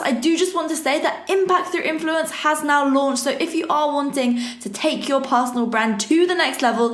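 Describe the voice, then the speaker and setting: A woman, hosting a podcast with an upbeat, energetic delivery. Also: high-pitched, echoey room. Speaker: podcast host. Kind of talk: podcast intro and interview.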